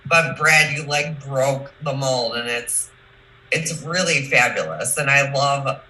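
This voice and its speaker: A woman's voice: extremely low pitch, slow, pushed and bright. A woman hosting a casual chat over a video call, speaking emphatically and effusively. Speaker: show host. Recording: echoey, noisy video call.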